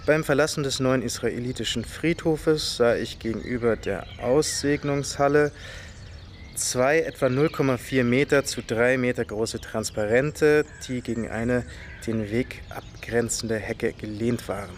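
An actor playing a pedantic official, reading out a formal report in a precise, bureaucratic way in a radio drama. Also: male voice, mid-range voice, a little slow, natural voice. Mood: officious. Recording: loud vehicle noise, outdoor ambience.

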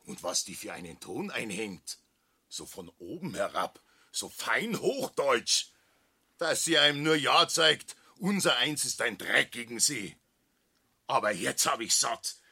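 An aggrieved Austrian village shopkeeper in a radio drama. The voice is sharp and raspy, swinging widely in pitch as he rants with blustering emphasis. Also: full character voice. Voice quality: gravelly, raspy. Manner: ranting, emphatic. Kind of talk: German radio play acting, rural dialect.

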